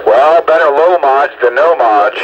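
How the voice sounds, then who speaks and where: A man with a folksy, joking way of talking chats casually on two-way radio. His voice comes through dull and lo-fi, with clear static noise around it. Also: on the high side, a little slow. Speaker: CB radio operator. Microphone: stock radio microphone.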